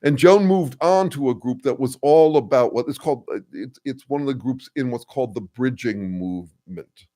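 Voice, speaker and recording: An older man hosting a casual livestream, thinking aloud with many ums, uhs and restarts as he answers viewers, and describing his own voice as a bass. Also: warm tone. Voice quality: says he has a bass voice. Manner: thoughtful, halting, full of ums and restarts. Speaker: host answering viewer comments.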